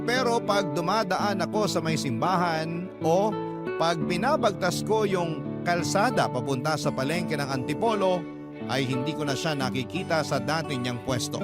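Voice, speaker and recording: Male radio drama narrator, higher-pitched, telling a personal story over loud guitar music. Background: prominent guitar music.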